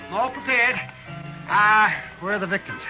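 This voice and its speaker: A male actor as a fussy frontier doc with ghoulish eagerness. His American voice is very high and excitable, theatrical in old radio western style. Music plays behind on a muffled vintage broadcast. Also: moderate pace, lightly acted. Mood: gleeful anticipation.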